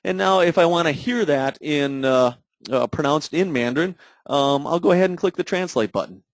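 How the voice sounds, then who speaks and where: Man, halting demo narrator, dry recording. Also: a little high, animated, no room sound. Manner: halting, filler-heavy explaining. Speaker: product demonstrator. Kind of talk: unscripted software tutorial narration.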